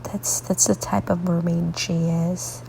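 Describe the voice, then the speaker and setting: Gravelly, stuffy-voiced woman artist. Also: on the low side, little pitch movement, slow, slight background noise, rough recording. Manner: soft, rambling, drifts into half-whispered asides. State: congested, stuffy nose; sleepy late at night. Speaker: artist hosting a coloring tutorial. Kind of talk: casual coloring tutorial narration.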